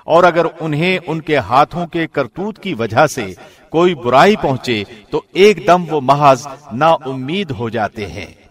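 A man, a professional radio host, reading a translation aloud in a measured, formal way. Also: a little high. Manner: measured, formal, even delivery. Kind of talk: scripted reading.

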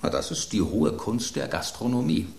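A roomy radio-play recording with faint noise carries a very low, sardonic male loaf of bread. He is grumbling and jealous, declaiming his indignation with mocking flourishes. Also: very animated, normal speed, creaky, a little mellow. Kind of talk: German radio play acting.

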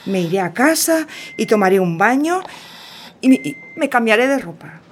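A woman's voice: a little high, sing-song, normal speed, slight background noise. An actress playing a worried, weary mother of an injured child in a radio drama. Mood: weary, hesitant.

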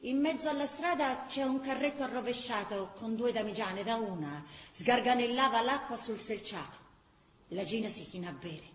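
A woman's voice: fairly high pitch, lively intonation, normal speed, roomy sound. A woman narrating scripted radio theatre.

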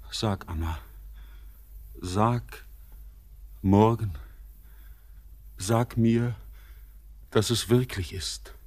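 A man playing an exhausted, haunted young bridegroom in a German radio drama, husky and breathy, halting, intense and dreamy. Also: on the low side, darkish tone, full character voice.

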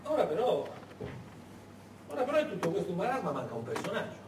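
Animated male stage storyteller, echoey and distant. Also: sits high. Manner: emphatic, suspenseful, dramatic pauses. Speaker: stage actor narrator. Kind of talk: dramatic theatrical storytelling. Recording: echoey hall, distant mic, clear background noise.

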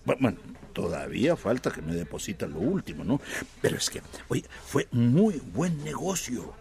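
An actor playing a hopeful, excitable middle-aged father talking on the phone in a radio drama. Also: mid-range voice, moderate pace, vocal fry. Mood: excited, evasive.

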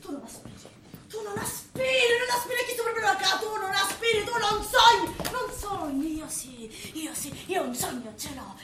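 Echoey, noisy stage play: actress as young female Sicilian mob boss, high voice, bossy, volatile, theatrical. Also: expressive, normal speed. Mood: indignant, grandiose. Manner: bossy, volatile, dramatic, dialect-laden. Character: fiery, ambitious young Sicilian woman gangster boss. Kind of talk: theatrical comic stage acting. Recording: echoey stage recording with loud noise.

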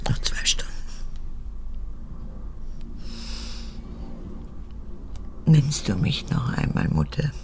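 An actress in a radio drama playing an aged, needy and domineering mother, an unrepentant former guard, with a deep, gravelly voice that moves between pleading and hardness. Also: big pitch swings, normal speed, darkish tone, whispered, full character voice. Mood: clinging, pleading. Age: older.

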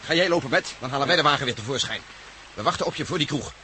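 A man's voice: mid-range voice, animated, very fast, pushed and bright, a little hiss or hum. A man acting in a Dutch radio play as a cautious, practical companion. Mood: cautious, brisk.

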